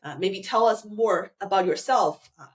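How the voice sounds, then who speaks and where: A woman's voice: mid-range voice, moderate pace. A woman hosting a podcast, asking friendly, encouraging questions with some fillers. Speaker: podcast host. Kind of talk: interview questions.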